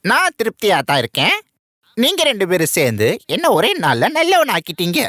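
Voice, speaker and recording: A woman's voice: medium pitch, wildly swooping pitch, brisk, a bit bright, no room sound, studio quality. Woman playing a scheming, wildly swooping villain eagle. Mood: sly, insistent. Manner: theatrical, insistent, goading. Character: scheming, goading villain eagle, sly and gloating. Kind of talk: Tamil children's cartoon dubbing.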